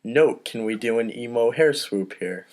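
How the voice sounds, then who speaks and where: Male host-narrator for a comedy script read, mid-pitched, informal, self-deprecating asides. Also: normal speed, darkish tone.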